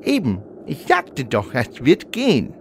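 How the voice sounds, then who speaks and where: A doddery old man in a comedy audio play, creaky and stammering. He speaks slowly and muddles along cheerfully over clear background noise. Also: medium pitch, huge pitch swings, exaggerated voice. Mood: cheerful, chuckling. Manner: stammering, confused.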